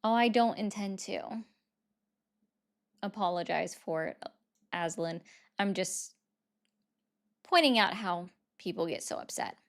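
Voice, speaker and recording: A woman's voice: medium pitch, takes it slowly, pauses often. A woman hosting a casual political livestream, chatting with viewers and thanking them for gifts.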